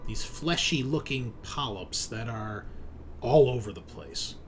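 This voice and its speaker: A man acts as game master for a horror roleplaying game. He describes scenes at an unhurried pace in a mid-pitched, conversational voice that is free with profanity. There is slight noise behind him. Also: expressive.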